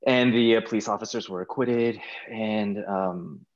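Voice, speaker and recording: A middle-aged American man chatting casually as an interview guest over a video call, informal and halting, often repeating words. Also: lowish voice, lo-fi sound. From California, United States. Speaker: guest, stage performer. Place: small plain room indoors, video call.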